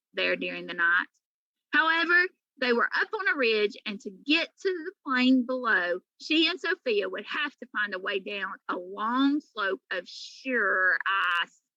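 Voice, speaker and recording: A woman reading a children's story aloud in an animated, emphatic way, like a teacher to pupils. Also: a little high, no room sound, muffled recording.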